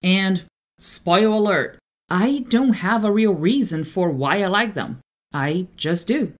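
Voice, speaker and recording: Female podcast host, Portuguese native speaker. Medium pitch, expressive range, clear explanatory delivery. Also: slow. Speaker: translator. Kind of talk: solo podcast monologue.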